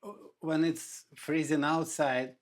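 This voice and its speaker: A middle-aged man, a guest on a podcast interview, often pausing to search for words. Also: a little high, steady tone. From Russia. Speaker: insurance agent guest.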